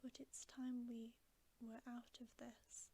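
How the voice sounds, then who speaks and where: A woman voicing a practical, impatient male beaver in a storybook, in a breathy whisper with a matter-of-fact grumble. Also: on the high side, level tone, takes it slowly, warm tone, exaggerated voice.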